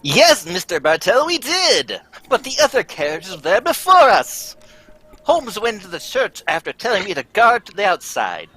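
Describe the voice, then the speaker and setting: Performer as Victorian gentleman doctor, excitable, earnest, hugely varied intonation, radio drama storytelling. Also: medium pitch, normal speed, full character voice. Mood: earnest, excited. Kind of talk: old-time radio drama narration.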